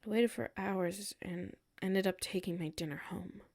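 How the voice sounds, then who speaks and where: A woman's voice: mid-range voice, moderate pace, darkish tone, natural voice. A woman playing a hurt, defensive tsundere coworker with a secret crush in a roleplay audio, exasperated and emphatic, dropping into sulky murmurs. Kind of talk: roleplay audio acting, one side of a conversation.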